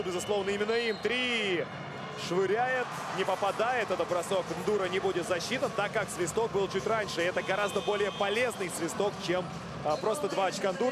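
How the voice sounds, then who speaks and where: A man calling a live basketball game play by play, brisk and lively. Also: sits high, heavy background noise. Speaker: play-by-play commentator.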